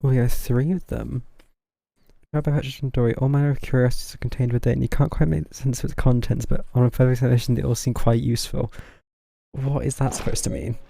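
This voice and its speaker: A man's voice: mid-range voice, little pitch movement, fast, vocal fry, warm tone. A man streaming a game, giving casual, offhand commentary in muttered asides. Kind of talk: casual live gameplay commentary.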